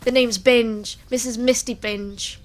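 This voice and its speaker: A self-important, officious woman with a fairly high voice. She is prim and stuffy, a pompous pantomime complainer. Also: steady tone, slow pace.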